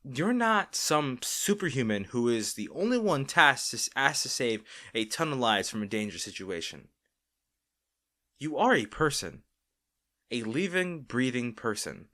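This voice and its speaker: A worried, loving boyfriend acted by a man in an intimate audio roleplay. His medium-pitched voice rises and falls a great deal as he earnestly pleads with an overworked partner. The delivery is emphatic and caring. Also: very animated, measured pace, sharp tone. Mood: firm, earnest.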